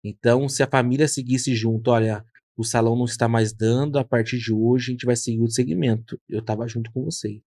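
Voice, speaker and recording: A man's voice: medium pitch, fairly even intonation, brisk, warm tone, no room sound, clean studio sound. A man in his thirties, a hairdresser and podcast host, telling his story in an earnest, reflective way. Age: 30s. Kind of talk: casual conversation.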